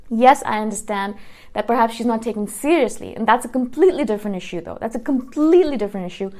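A woman in her thirties, a YouTuber talking straight to her viewers in a casual monologue, earnest and full of restarts and "right?" checks. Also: on the high side. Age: 30s. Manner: earnest, repeats words and restarts often, asks "right?".

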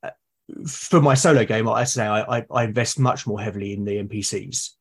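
A man's voice: fairly low pitch, fairly quick, husky. A man from Britain speaking as a guest in casual conversation, agreeable and hesitant, repeating words as he thinks. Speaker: podcast guest. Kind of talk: casual conversation about roleplaying games.

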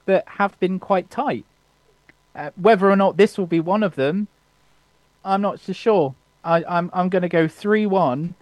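A man's voice: on the high side, a little mellow, dry recording. A man hosting a casual football podcast, teasing his co-hosts and laughing often. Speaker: podcast host. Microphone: remote call.

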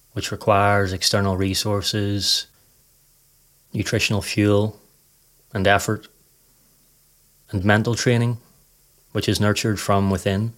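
A man narrating a scripted guided meditation in a calm, measured, soothing way. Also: fairly low pitch.

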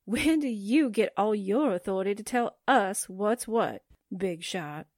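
A woman's voice: medium pitch, expressive, measured pace, darkish tone, no room sound, studio quality. A woman playing a skeptical, sarcastic newspaperwoman sidekick in a 1940s-style radio drama. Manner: sarcastic, pointed. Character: sassy, skeptical newspaperwoman sidekick with dry wisecracks.

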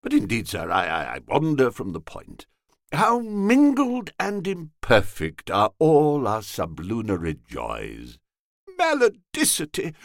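Man acting a florid young gallant, sentimental, gushing, extremely swooping pitch, courtly French flourishes, storybook narration, dry sound. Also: no echo, full character voice. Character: effusive, sentimental young gentleman, florid and lovestruck.

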